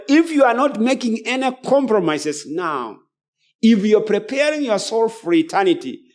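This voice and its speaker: A male preacher with a high-pitched voice delivers a sermon. He speaks earnestly and admonishes his listeners, repeating key phrases to drive them home. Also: a little mellow. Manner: earnest, emphatic repetition and admonishing.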